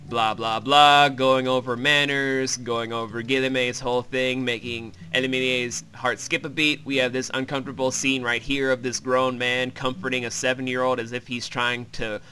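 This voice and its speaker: A man's voice: mid-range voice, level tone, normal speed, hardly any pauses, sharp tone. A man hosting a joking, crude and irreverent recap of a video game. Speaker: host, self-described comedic voice actor. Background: game music.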